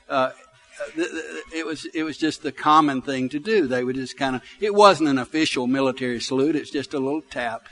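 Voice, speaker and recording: A man's voice: on the high side, a bit bright. A man in his sixties with a Southern US accent, preaching a sermon in a folksy, conversational way built on personal stories. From Alabama, United States. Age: 60s. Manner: folksy, conversational storytelling with repeated phrases for emphasis. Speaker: pastor.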